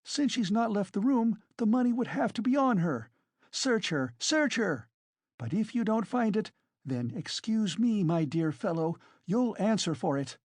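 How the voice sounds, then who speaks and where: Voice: medium pitch, very animated, unhurried, darkish tone, dry recording, pitched above the performer's own voice. A narrator voicing a frantic, furious, proud woman in a dramatised audiobook, shrieking and exclaiming. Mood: frantic fury. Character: hysterical, furious, proud wasted woman defending a girl.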